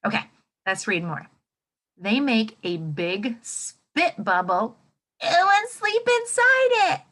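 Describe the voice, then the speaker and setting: A woman teacher reading a nonfiction book aloud to children, expressively, thinking out loud and putting questions to her listeners. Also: a little high. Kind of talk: interactive children's nonfiction read-aloud lesson.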